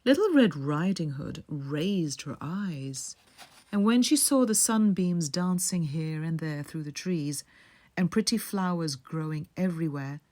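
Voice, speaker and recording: A woman reads a bedtime fairy tale in a fairly low voice. The delivery is very slow and soothing, measured, with phrases that often sink into a hush. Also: lively intonation. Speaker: bedtime storyteller.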